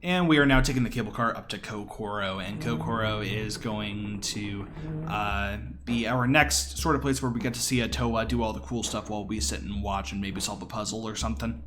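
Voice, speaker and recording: A man's voice: lowish voice, normal speed, background noise. A man giving casual, explanatory live commentary as he speedruns a video game.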